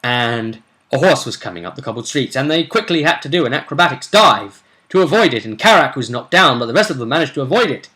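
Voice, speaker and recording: A man with a bright, forward voice at a middle pitch. He recaps a tabletop role-play session as its game master, casual and chatty, wandering off into asides. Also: animated, fast, hardly any pauses. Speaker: game master and vidcast host.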